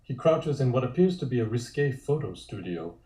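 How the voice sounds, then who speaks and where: Mellow male narrator in a roomy space. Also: mid-range voice, lively intonation, a little fast. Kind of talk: scripted narration of a comic table read. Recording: roomy, echoey sound.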